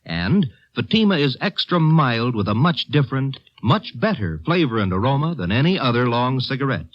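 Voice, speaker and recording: An American male announcer delivers a mid-century radio commercial. His intonation swings widely around an average pitch. The recording is dull and aged. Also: big pitch swings, moderate pace. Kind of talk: 1950s radio advertising pitch. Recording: muffled vintage radio recording.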